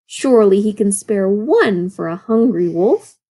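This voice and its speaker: A woman's voice: mid-range voice, lively intonation, measured pace, mellow. A woman voicing a hungry, grumpy old male wolf, stiff and weary, in a storybook read-aloud. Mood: curious, hungry. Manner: growling, grumbling.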